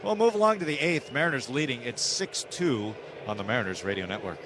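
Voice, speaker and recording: A man's voice: mid-range voice, animated, fairly quick. A man doing live baseball play-by-play on the radio in short, clipped phrases. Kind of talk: live radio sports commentary. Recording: noisy live ballpark radio broadcast. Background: outdoor stadium ambience.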